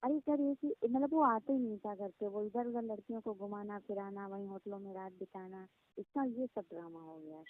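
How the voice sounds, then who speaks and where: A woman's voice: medium pitch, moderate pace, few pauses, slight background noise, natural voice. A woman playing a regretful former employee in a phone conversation, speaking hesitantly and with deference. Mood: plaintive, aggrieved. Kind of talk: staged phone call conversation. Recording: tinny phone line.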